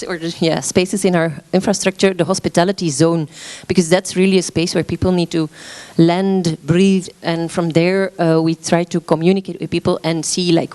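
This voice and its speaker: A woman's voice: lowish voice, a little mellow. A woman presenting her arts organization at a meeting, speaking hesitantly with frequent filler sounds and restarts. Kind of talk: conference presentation.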